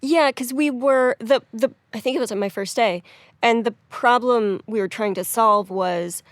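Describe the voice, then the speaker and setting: A woman's voice: a little high, normal speed, dry recording. A woman giving casual, analytical commentary as a game writer, explaining earnestly in long runs.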